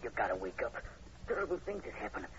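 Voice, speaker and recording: A folksy, agitated country fellow frightened in a vintage radio horror play. His pitch is lively and varied. The sound is muffled with clear background noise. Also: quick pace. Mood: panicked urgency. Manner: folksy, agitated, rustic grammar. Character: frightened, superstitious rustic backwoods man. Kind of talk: 1940s radio horror acting. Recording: muffled, noisy old radio recording.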